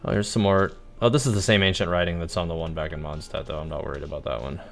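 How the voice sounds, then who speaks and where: A man's voice: low voice, fairly even intonation, quick pace, vocal fry, faint music behind. A man giving casual livestream commentary as he plays a game, musing aloud.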